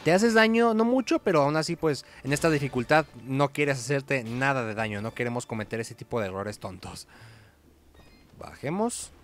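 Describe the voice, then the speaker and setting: A man's voice: medium pitch, animated, moderate pace. A man hosts a gameplay video in a casual, conversational way. He comments as he plays, with music behind his voice. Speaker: gaming video host.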